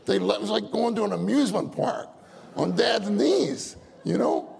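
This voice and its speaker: Older male preacher, high gravelly husky voice, folksy storyteller, echoing hall. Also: normal speed, a little mellow. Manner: folksy storytelling, laughs often, acts out voices. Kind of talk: sermon. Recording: long hall reverb.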